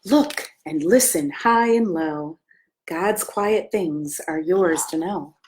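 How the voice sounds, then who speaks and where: An American woman, a pastor, reading a children's story aloud with gentle, simple asides and questions for young listeners. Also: fairly low pitch, slow pace, a little hiss or hum. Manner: gentle, simple questions addressed to small children, often hushed.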